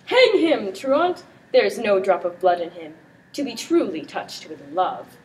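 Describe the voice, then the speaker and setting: A teenage girl playing a teasing, excitable prince in an amateur Shakespeare performance. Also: medium pitch, measured pace. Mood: playful teasing. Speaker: student performer. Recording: distant mic with background noise.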